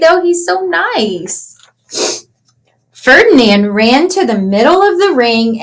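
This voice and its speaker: A woman reading a picture book aloud to children in an animated storytelling way, pausing to ask them simple questions. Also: high voice, rough recording. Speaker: children's read-aloud teacher.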